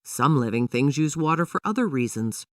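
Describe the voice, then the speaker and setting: A woman's voice: very deep voice, lively intonation, moderate pace, no room sound, studio quality, recorded from a distance. A woman voicing a patient father who explains things to his young daughter in a children's storybook, in an instructive manner. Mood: explaining.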